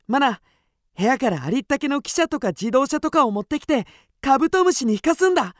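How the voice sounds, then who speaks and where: An actor playing an excitable, mischievous ten-year-old schoolboy, a bossy big brother, in a children's radio drama. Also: male voice, extremely high pitch, a little fast, dry recording, clean studio sound. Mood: eager, bossy.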